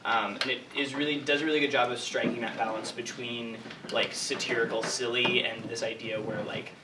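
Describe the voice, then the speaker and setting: A man's voice: mid-range voice, level tone, a little fast. A man in his twenties giving informal, rambling answers as a writer at an audience Q&A. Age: 20s. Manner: hesitant, rambling, heavy use of "like". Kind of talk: informal Q&A talk. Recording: echoey room, distant mic, noisy.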